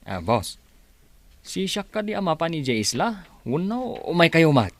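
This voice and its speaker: A man playing an obedient, slow-witted henchman, deferential and questioning, in a radio drama. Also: fairly high pitch, big pitch swings. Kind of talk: Ilocano radio drama acting.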